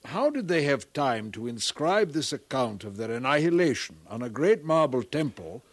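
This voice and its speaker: A probing, formal inquirer in a fifties science-fiction radio play. His middle-pitched voice moves expressively as he questions in a measured, thoughtful way. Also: very animated, no room sound. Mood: thoughtful, puzzled. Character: probing, thoughtful far-future male interviewer of scholars. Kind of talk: 1950s radio drama acting.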